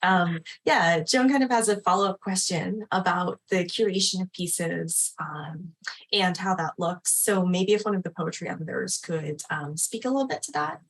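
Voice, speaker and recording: A woman's voice: lowish voice, moderate pace, low-quality recording. A woman hosting an informal event, speaking casually and off the cuff with hesitant, loosely phrased sentences. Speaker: event facilitator and host. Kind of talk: casual unscripted hosting of a literary launch.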